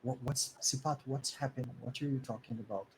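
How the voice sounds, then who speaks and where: A man voicing a troubled, anxious young woman who asks halting, confused questions. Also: mid-range voice, a little hiss or hum, lightly acted, poor audio.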